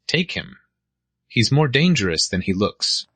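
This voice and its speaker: A man playing a weary police detective and regretful father in a screenplay read, with a husky voice. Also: medium pitch, sing-song, a little slow, bright, forceful tone, dry recording, exaggerated voice. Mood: stern.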